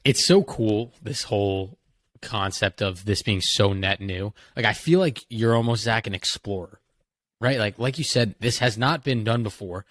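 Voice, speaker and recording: Enthusiastic young podcast co-host, dry sound. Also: male voice, lowish voice, normal speed, fairly bright tone, no room sound. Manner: enthusiastic, leans on "like". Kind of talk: casual podcast chat.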